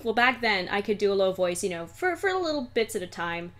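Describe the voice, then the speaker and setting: A woman's voice: mid-range voice, very animated, brisk, a bit bright. A young woman, a guest voice actress and singer, chatting casually in an interview, giggling and rambling with lots of fillers.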